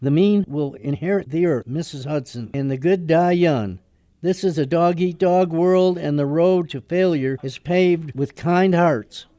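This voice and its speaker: Sardonic, defiant American young-blood attorney son male actor, mellow tone, folksy delivery, soft heart underneath, radio drama. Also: a little high, a little slow, natural voice. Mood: cynical. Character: wisecracking, defiant lawyer son, cynical but soft-hearted.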